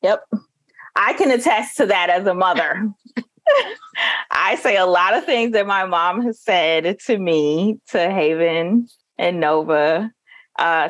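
Brief: woman co-host, casual storyteller with laughter, lower pitch, dry close mic. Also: no echo. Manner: warm-humored, laughing, storytelling. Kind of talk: casual chat.

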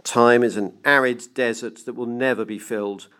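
A man's voice: medium pitch, steady tone, moderate pace, a bit bright, clean tone, lightly acted. A man voicing a frenzied, domineering banker in an audiobook story, with a grandiose, barking manner. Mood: defiant. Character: frenzied, domineering male banker obsessed with defeating time. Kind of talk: dramatised audiobook reading.

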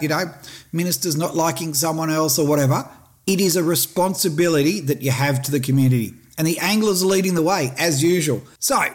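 A middle-aged Australian man talks casually on his fishing podcast. He is blunt and passionate, and his voice sits slightly high for a man. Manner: blunt, passionate, plain-spoken. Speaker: fishing show host.

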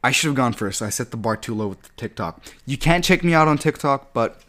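A man's voice: medium pitch, animated, brisk, a bit bright. A man with a Canadian accent hosting a casual podcast, rambling and self-deprecating, laughing often. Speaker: podcast host.

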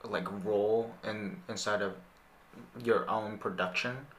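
A young man hosting a casual podcast interview, speaking haltingly and repeating words as he thinks. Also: fairly low pitch, fairly even intonation, fairly bright tone. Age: young adult. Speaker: podcast host. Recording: roomy, echoing sound.

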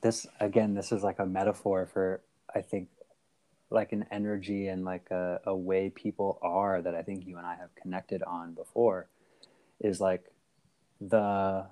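Low-voiced man rambling casually. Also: little pitch movement, measured pace, mellow. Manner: rambling, many fillers and restarts. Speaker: podcast host. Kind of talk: casual podcast chat.

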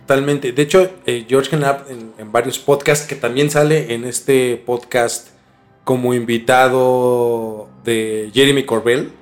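A man's voice: mid-range voice, fairly even intonation, takes it slowly, faint music behind. A young Mexican man telling a story as a podcast host in a casual, slangy conversational way. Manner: informal, slangy.

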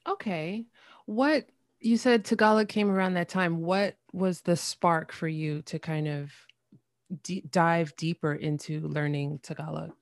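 A woman's voice: on the low side, steady tone, a little slow, no echo, studio quality. A woman hosting a podcast interview, upbeat and welcoming as she introduces and questions her guest. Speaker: podcast host.

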